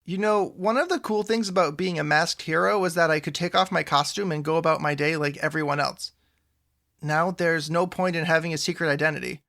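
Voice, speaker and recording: A man's voice: fairly high pitch, fairly quick, gravelly, bright, forceful tone. A man playing an earnest, awkward, chatty sidekick hero in an audio drama. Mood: wistful. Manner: chatty, earnest, self-effacing.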